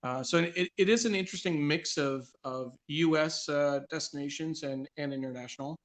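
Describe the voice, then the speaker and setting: A man hosting a casual interview show, conversational and halting as he asks questions and reads things out. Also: a little high. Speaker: guest host of a live read-along show. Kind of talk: casual interview, reading a list aloud with filler pauses.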